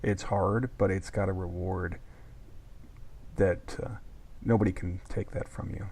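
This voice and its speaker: A man hosting an interview podcast, with a gravelly, husky voice, asking reflective questions. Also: low-pitched, steady tone, measured pace, darkish tone, slight background noise. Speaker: podcast host.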